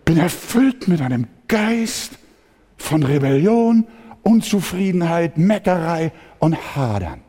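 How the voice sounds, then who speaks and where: A man's voice: on the high side, big pitch swings, takes it slowly, dark tone, breathy edge. A man preaching a sermon as pastor, impassioned, sometimes mimicking grumbling churchgoers. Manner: impassioned preaching, acts out complaining voices. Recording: long hall reverb.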